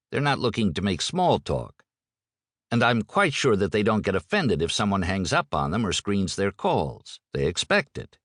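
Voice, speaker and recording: A man's voice: fairly low pitch, fairly bright tone, slightly rough, no echo, clean studio sound. A man reading a first-person audiobook in a wry, conversational manner. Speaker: audiobook narrator.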